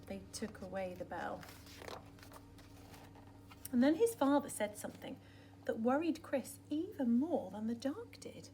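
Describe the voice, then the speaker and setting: A woman reading a picture book aloud for young children, dramatic and expressive, often dropping into a hushed, breathy tone. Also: fairly high pitch, a little slow, pauses often, a little hiss or hum.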